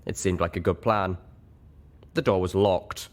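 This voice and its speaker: A man's voice: low voice, fairly even intonation, measured pace. A man narrating a first-person story expressively, as an audiobook reader.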